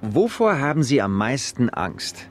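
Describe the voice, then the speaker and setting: A man's voice: mid-range voice, big pitch swings, a little slow, soft background music. A man acting in a German radio drama as a polite, tactful newspaper reporter. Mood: polite, tentative.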